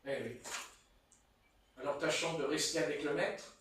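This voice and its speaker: A man with a Marseille French accent preaching a sermon in a church hall, telling stories in a playful, animated way. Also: on the high side, normal speed. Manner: animated, playful storytelling with chuckles. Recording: echoey hall, mic far off.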